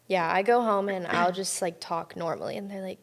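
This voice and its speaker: A young woman from California, a college softball player chatting casually as an interview guest, telling lively stories with lots of fillers. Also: on the low side, brisk. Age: young adult. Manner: chatty, animated storytelling with many fillers.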